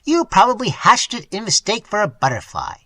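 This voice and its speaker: A man voicing a huge, peevish male professor who talks in a thin, highly sarcastic voice. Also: wildly swooping pitch, normal speed, pushed and bright, dry recording, heavily acted. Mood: scornful.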